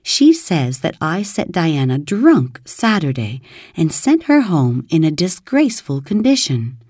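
A woman's voice: sits low, big pitch swings, unhurried, a little mellow, husky, no echo, clean studio sound, full character voice. A woman voicing a dramatic, imaginative orphan girl who wails and sobs in distress. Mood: wailing. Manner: wailing, sobbing, breathless and overwrought.

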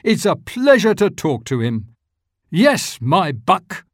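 A giddy old miser bursting with joy, as a storyteller puts him on. The voice is lifted high above the reader's own, and it leaps about with excitement in a dry, close recording. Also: takes it slowly, no room sound, full character voice. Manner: excited, exclaiming.

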